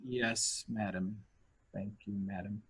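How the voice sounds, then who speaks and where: A man's voice: lowish voice, steady tone, takes it slowly, fairly bright tone, breathy edge, exaggerated voice, poor audio. A man playing a polite, formal manservant in an amateur murder mystery play. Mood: deferential. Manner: formal, deferential. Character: polite, deferential dismissed manservant.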